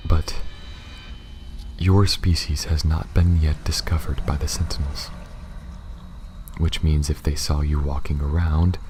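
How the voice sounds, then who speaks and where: A man playing a calm, reassuring alien spaceship pilot in an ASMR roleplay, speaking softly and haltingly, often near a whisper. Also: extremely low pitch, a little hiss or hum. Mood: apologetic, explaining. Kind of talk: ASMR roleplay addressed to the listener.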